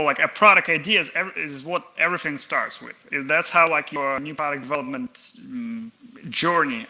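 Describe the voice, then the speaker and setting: A man's voice: a little high, sharp tone, rough recording. A man from Kazakhstan in his thirties, an inventor guest talking casually in an interview, hesitant with many fillers and restarts.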